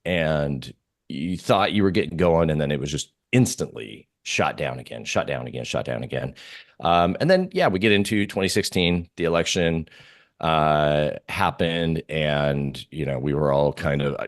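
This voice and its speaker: A man's voice: extremely low pitch, normal speed. A man giving casual market commentary as an interview guest, informal and often repeating himself as he thinks aloud.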